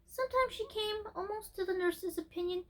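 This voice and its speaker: High-voiced woman reading a storybook aloud. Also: fairly quick, a bit bright. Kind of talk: scripted narration, storybook read-aloud.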